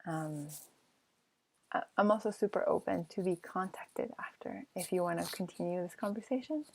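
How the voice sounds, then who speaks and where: Low gravelly woman from peru, lots of ums, chatting thru a q&a. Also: normal speed, darkish tone, poor audio. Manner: hesitant, many ums and restarts. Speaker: artist giving an online talk. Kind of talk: casual Q&A answers.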